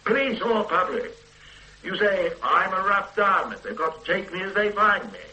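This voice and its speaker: An actor playing a stern middle-aged police boss who lectures and mocks a junior officer, in radio drama style. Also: male voice, high-pitched, sing-song, moderate pace, pushed and bright, heavily acted, poor audio. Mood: lecturing. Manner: lecturing, brusque, mocking. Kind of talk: 1980s radio drama acting.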